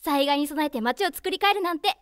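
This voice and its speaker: High-pitched, lively teenage girl played by a woman. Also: fast, no echo, clean studio sound. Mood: bright, teasing. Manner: youthful, casual, expressive schoolgirl speech. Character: lively teenage girl, caring, teasing childhood friend. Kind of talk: Japanese audio drama acting.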